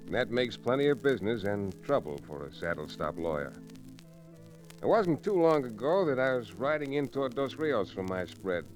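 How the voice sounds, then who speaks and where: An actor playing a level-headed frontier lawyer and rancher with folksy delivery in an old-time radio Western. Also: male voice, mid-range voice, very animated, normal speed, faint music behind, natural voice, rough recording. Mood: wry storytelling. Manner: folksy, easygoing storytelling.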